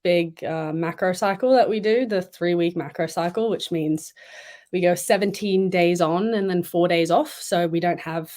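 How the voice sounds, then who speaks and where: A woman's voice: fairly low pitch, moderate pace. A young woman from Thailand and Malaysia, chatting casually as a guest in an interview, with lots of fillers. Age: young adult. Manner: chatty, relaxed, many fillers and "like"s. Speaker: guest swimmer.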